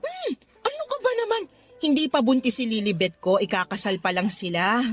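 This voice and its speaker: A woman playing a doting, emotional middle-aged mother in a radio drama, expressive, with a Filipino accent. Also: a little high, brisk. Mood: teasing, fond. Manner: expressive, affectionate. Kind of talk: radio drama acting in Tagalog. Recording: music bed behind the voice.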